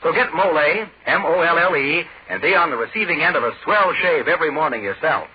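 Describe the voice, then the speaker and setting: Casting: male vintage ad announcer, very rough husky tone, muffled radio sound. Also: fairly high pitch, expressive, fairly quick. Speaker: commercial announcer. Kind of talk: scripted 1940s radio advertisement. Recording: muffled 1940s radio recording.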